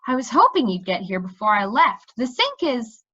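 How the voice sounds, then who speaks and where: A woman voicing a brisk, blunt young princess in a storybook, impatient and exasperated. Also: a little high, big pitch swings, pushed and bright, dull audio, exaggerated voice.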